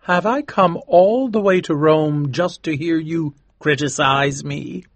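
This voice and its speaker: A courteous young gentleman with a soft, breathy voice that sits a little higher than the reader's own, as a male narrator plays him. He speaks earnestly and politely, and the dry, close sound keeps every breath near. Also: slow pace, no echo.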